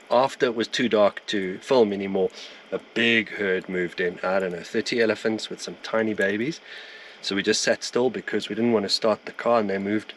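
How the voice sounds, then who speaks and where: A middle-aged man and wildlife filmmaker casually recapping his evening at an outdoor bush campsite at night, talking in a relaxed, chatty way with easy pauses and fillers. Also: lowish voice, background noise.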